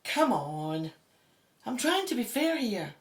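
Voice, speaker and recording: A woman's voice: on the high side, big pitch swings, slow pace. An actress playing a determined, diplomatic female Egyptologist in an audio drama. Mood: conciliatory.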